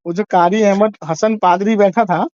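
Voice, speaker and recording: A man in his forties with a distinctly high voice speaks as a religious scholar on a phone call. The sound is dry, with no room around it. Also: fairly even intonation, moderate pace, a little mellow, no echo. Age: 40s. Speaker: religious scholar taking calls from the public. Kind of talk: phone conversation, religious commentary.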